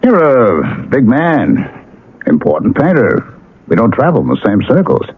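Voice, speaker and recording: An actor playing a down-to-earth struggling painter and old friend in a 1970s radio drama, plain and colloquial. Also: male voice, mid-range voice, wildly swooping pitch, a little slow, breathy edge, heavily acted. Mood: evasive, offhand. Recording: muffled, lo-fi old radio broadcast.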